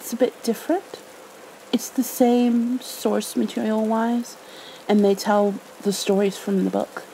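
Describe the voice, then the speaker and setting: A woman reads a bedtime storybook in a gentle, motherly ASMR style. Her voice is mellow and slightly high, very unhurried, with creaky edges. Faint rain ambience sits behind her. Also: a little hiss or hum, rough recording. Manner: soothing, gentle, tender. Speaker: ASMR roleplay performer. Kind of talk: soft ASMR storybook reading in a caring motherly roleplay.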